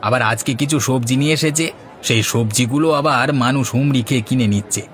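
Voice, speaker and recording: A man's voice: mid-range voice, fast, fairly bright tone, a little hiss or hum, natural voice. A man playing a chatty, envious village fish seller in a Bengali moral-story cartoon. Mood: envious, grumbling.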